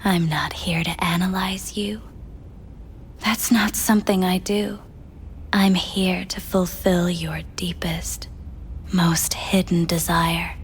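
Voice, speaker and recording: A woman playing a soft, probing, hypnotic pleasure-doll companion in a video game, speaking intimately and often in a whisper. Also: fairly low pitch, fairly even intonation, slow pace, slight background noise.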